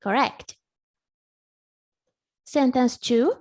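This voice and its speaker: Brief: English teacher, Portuguese native, measured, patient repetition, unhurried delivery. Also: female voice, high-pitched, darkish tone. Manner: patient, slow drilling, repeats words for the student. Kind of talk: live online English lesson.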